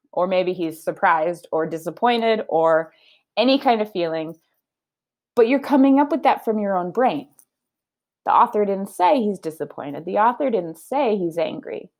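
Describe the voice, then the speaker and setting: A woman in her thirties, a teacher, reading a storybook aloud to children at home and explaining reading skills in a teacherly way. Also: medium pitch. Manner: warm teacherly, explaining and questioning. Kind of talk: children's read-aloud lesson. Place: home room.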